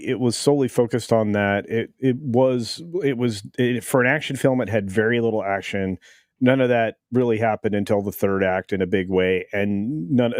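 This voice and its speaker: Halting, critical man, dry sound. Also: fairly low pitch, darkish tone, no echo. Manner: halting, repeats himself, disapproving. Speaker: film review panelist. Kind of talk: casual panel discussion.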